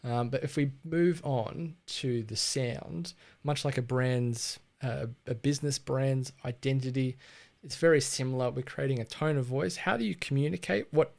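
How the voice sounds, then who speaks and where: A man's voice: mid-range voice, normal speed, gravelly, darkish tone. A man with an Australian accent hosting a casual livestream on branding, chatty and laid-back, with frequent ums and restarts. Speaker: livestream host teaching branding.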